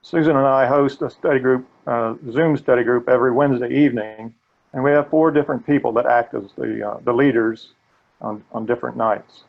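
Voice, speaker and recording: An older man with a gravelly voice, earnestly presenting a live training webinar. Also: mid-range voice, fairly quick, muffled recording. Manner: earnest, steady, occasional restarts. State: gravelly voice today.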